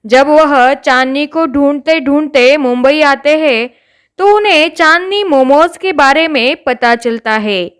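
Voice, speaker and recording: Female storyteller for children's moral tales; high voice, slow measured narration.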